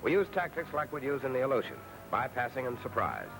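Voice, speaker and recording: A man's voice: mid-range voice, wildly swooping pitch, quick pace, gravelly, over music. An American man narrating a scripted 1940s wartime newsreel in a punchy, colloquial, patriotic style. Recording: noisy 1940s film soundtrack with artillery.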